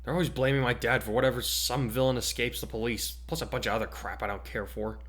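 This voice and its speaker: A man's voice: mid-range voice, steady tone, fast talker, a bit bright, close to an everyday voice. Man voicing an irritable, grumbling teenage boy. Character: irritable teenage boy hero student, grumbling about press.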